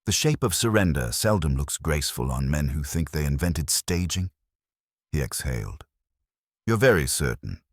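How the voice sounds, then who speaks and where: A man narrating a detective story in the first person, with a measured, dry, literary delivery. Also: a bass voice, animated, dry recording. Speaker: audiobook narrator.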